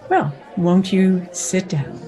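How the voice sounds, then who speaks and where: A woman's voice: on the low side, takes it slowly, mellow, faint music behind, some chatter behind. An actress playing a knowing, worldly frontier saloon woman, wry and teasing, in a radio western. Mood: gracious. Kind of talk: old-time radio western acting.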